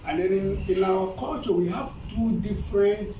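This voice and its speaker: Male interviewee, Nigerian Igbo accent, slightly high-pitched voice, explanatory and emphatic, picked up from far off. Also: normal speed, over music. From eastern Nigeria. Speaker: interview guest. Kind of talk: informal explanatory interview answers. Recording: roomy, distant, clearly noisy recording.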